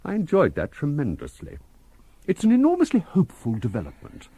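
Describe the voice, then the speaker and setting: An urbane, theatrical elderly scientist, wildly varied pitch. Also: male voice, wildly swooping pitch, mellow, slightly rough, heavily acted. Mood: pleased, frank. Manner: formal, measured, theatrical. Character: genial, urbane, very old spacefaring scientist, eloquent and commanding. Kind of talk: radio drama acting.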